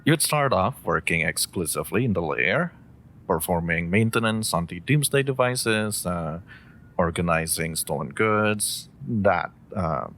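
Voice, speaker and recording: Man acting a supervillain employer, very low voice, slow, courteous, faintly menacing, audio drama roleplay. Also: gravelly, fairly bright tone, heavily acted. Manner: courteous, measured, hesitant asides, faintly menacing. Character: polite, theatrical male supervillain interviewing a job applicant. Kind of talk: scripted audio roleplay addressed to the listener. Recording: faint background ambience and rumble.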